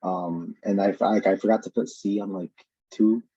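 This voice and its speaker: Young student guy, low dark husky voice, mumbling through a dull muffled call line. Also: fairly even intonation, normal speed, no room sound. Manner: hesitant, rambling with fillers. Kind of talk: answering in class.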